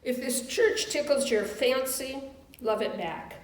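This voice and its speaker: A woman reading scripted words in a measured way at a church service. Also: sits high. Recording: echoey room, distant microphone.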